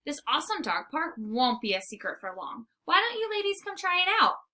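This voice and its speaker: An eager young city dog, acted out by a woman reading a storybook, boastful and earnest. The voice is high and bright, leaping excitedly as he talks. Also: a little fast, exaggerated voice.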